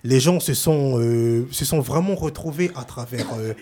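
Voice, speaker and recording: Male guest from France, relaxed explainer, lots of "euh", mid pitch. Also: moderate pace. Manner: hesitant, many fillers. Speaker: journalist and author guest. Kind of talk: casual talk-show chat.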